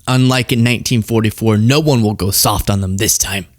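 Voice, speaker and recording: A man's voice: on the low side, brisk, dry recording, clean studio sound. A man hosting a political commentary show, talking casually and sarcastically, laughing often.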